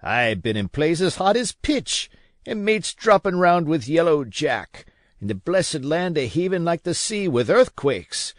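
A man voicing a weak, feverish old sea captain who curses and pleads in rough sailor talk. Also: a little high, big pitch swings, unhurried, dry recording, studio quality, pitched above the performer's own voice. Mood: pleading, grumbling. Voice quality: feeble, wheedling.

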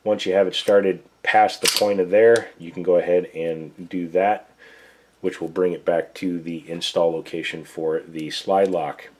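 A man's voice: low voice, steady tone, measured pace, a little mellow, slightly rough. A man who is losing his voice gives a casual, plain-spoken, step-by-step demonstration at his workbench. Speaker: gun reviewer, show host. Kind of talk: casual tabletop demo. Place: indoor workbench.

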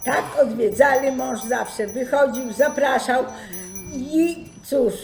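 A woman's voice: sits high, slow pace, darkish tone. An older woman, casually recalling village customs. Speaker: senior sharing memories. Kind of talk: casual spoken reminiscence. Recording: echoey room, faint music and chimes.

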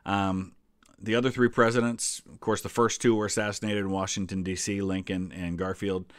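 A man's voice: lowish voice, level tone, normal speed, dry recording, clean studio sound. An American man in his forties giving casual, conversational commentary as a history channel host. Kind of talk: casual reaction commentary to a quiz.

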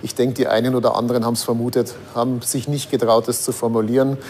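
A man's voice: medium pitch, flat delivery, fast, a little mellow, a little hiss or hum. A man giving reflective interview commentary as an expert historian.

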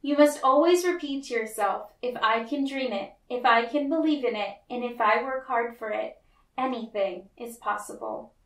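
A woman voicing a warm, reassuring, encouraging mother in a children's storybook. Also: fairly high pitch, normal speed. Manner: reassuring, emphatic. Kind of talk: children's storybook read-aloud. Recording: roomy, echoey, distant mic.

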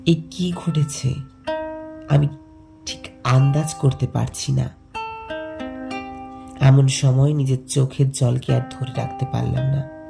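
Lovelorn young village man played by a male narrator, slow and pensive, creaky voice, cartoon story with music behind. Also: mid-range voice, level tone. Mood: pensive, sorrowful. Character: lovelorn young village man recounting his tragic love. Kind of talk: scripted first-person story narration with dialogue.

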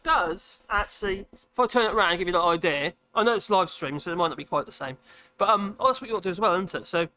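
A man, chatting casually on a live stream from his workshop, a bit husky today and prone to rambling and correcting himself. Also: fast, pushed and bright. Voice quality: husky at the moment, fairly high voice. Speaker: woodworking channel host. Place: cluttered home workshop, indoors. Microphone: cheap USB desk microphone. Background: air compressor running at times.

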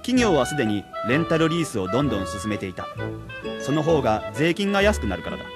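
A male actor playing a jokey, excitable salaryman in a Japanese radio comedy drama, given to clowning and puns. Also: mid-range voice, fast, over loud music, close to an everyday voice. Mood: reflective narration. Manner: clowning, breaks into puns and bits of song. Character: jokey, excitable salaryman, cheerful punster and father.

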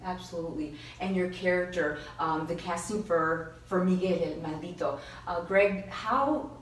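A woman's voice: fairly low pitch, moderate pace. A woman conducting a conversational entertainment interview as the interviewer. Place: small indoor room. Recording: echoey room, distant mic, faint noise.

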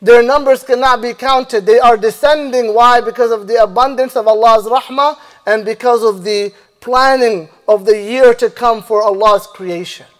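A man in his thirties delivering a Friday sermon as imam in a mosque, speaking in a measured preaching manner into a stand microphone, with a long hall reverb on his voice. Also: extremely high pitch, a bit bright. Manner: measured preaching, recites Arabic verses rapidly then translates slowly. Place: mosque prayer hall, indoors. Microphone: stand mic and lapel mic.

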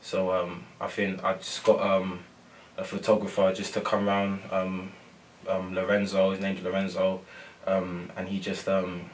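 A young man and footballer, soft-spoken and full of ums and repeats, answering post-match questions in a press room. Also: low voice, completely flat delivery, a little slow, distant mic, slight background noise. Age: young adult. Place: press room before a sponsor board.

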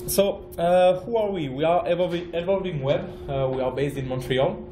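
A man's voice: fairly high pitch, music in the background. French-accented man presenting in an echoey room. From France. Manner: halting, frequent fillers and restarts. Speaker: project manager, conference speaker. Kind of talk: conference presentation. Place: conference room.

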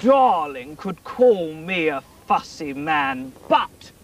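A fussy fairy-tale king who whimpers and pouts, then crows with delight, as a woman puts him on. His voice leaps high and swings widely, with the petulant whine of a spoiled monarch in a children's storybook. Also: very animated, slow pace, gravelly, distant mic, slight background noise, exaggerated voice. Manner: whiny, petulant. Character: fussy whimpering old-fashioned king, man.

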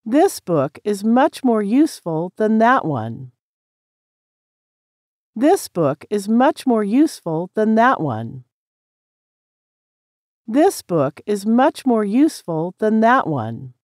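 A woman with an American accent reads practice sentences very slowly, each word laid out plainly for learners. Her pitch rises and falls freely across each line. The sound is dry and close, with no room around it. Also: big pitch swings, darkish tone, no room sound. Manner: clear, careful, each sentence said three times. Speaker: English practice reader. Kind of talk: slow repeated sentence reading for drills.

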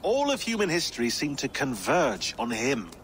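A wry, talkative tech expert with a sardonic edge. His pitch swings widely as he explains. Faint ambient noise sits under him. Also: normal speed. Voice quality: sardonic, animated.

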